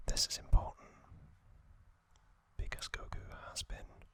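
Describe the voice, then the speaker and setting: A man narrates in a crisp, bright whisper for ASMR. He moves very slowly and deliberately, breaking his scripted story into short hushed phrases. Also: no echo. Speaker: ASMR host.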